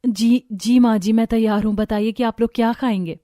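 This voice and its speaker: A woman's voice: on the high side, steady tone, normal speed, dark tone, a little hiss or hum, lightly acted. A woman playing a timid, anxious young bride who speaks meekly and politely in a cartoon story. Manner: meek, polite, nervous. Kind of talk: Hindi cartoon story character acting.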